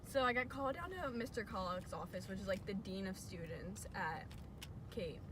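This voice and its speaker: A young American woman in casual chat and storytelling with friends, informal and emphatic, repeating herself. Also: normal speed. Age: teens or young adult. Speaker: vlog co-host. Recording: clear background noise, vehicle rumble.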